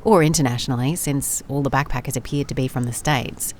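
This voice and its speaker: A woman's voice: a bass voice, fairly quick, a little mellow, slight background noise. A woman playing a wry, methodical private investigator in an audio drama, musing and dictating case notes in a dry manner. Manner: dry, musing, clipped note-taking. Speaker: lead actor in audio drama. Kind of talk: first-person audio drama case log.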